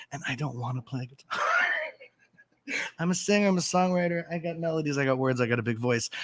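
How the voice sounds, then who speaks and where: A man's voice: mid-range voice, gravelly, dry recording. Rambling, self-mocking man. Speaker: guest. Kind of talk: casual live-stream chat. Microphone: desk mic.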